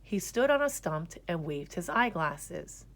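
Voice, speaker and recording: A woman reading a children's storybook aloud in an expressive narrating style. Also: fairly low pitch, measured pace. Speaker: storybook reader.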